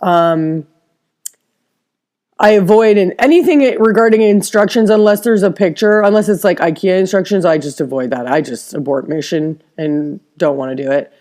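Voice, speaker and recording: A woman's voice: lowish voice, dark tone. A woman with a stuffed-up nose talking in a casual personal vlog, rambling with frequent restarts. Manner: rambling, halting, many restarts. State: stuffed-up nose, slight cough. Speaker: interior designer vlogger.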